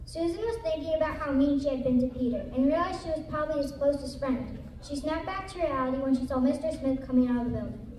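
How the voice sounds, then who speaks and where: A woman's voice: high voice, quick pace, darkish tone. A female student narrator reading a scripted story aloud in a reader's theater performance. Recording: echoey room, distant mic, background noise.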